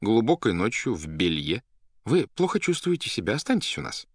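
A man voicing a polite, inquisitive psychiatric doctor in an audiobook, courteous and measured as he questions. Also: medium pitch, lively intonation, dry recording, clean studio sound, voice pushed up, close to an everyday voice. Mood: concerned.

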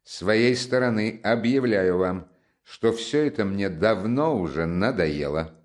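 A man voicing a feverish, defiant young man who speaks loudly, clipped and strained. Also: on the low side, lively intonation, a little slow. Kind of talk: audiobook character voice.

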